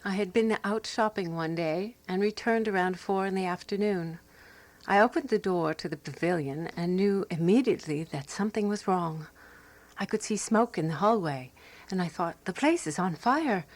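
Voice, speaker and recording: A woman playing a fussy, resolute amateur sleuth who narrates her own mystery in a radio drama, prim and measured. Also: on the low side, no echo, lightly acted. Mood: measured storytelling.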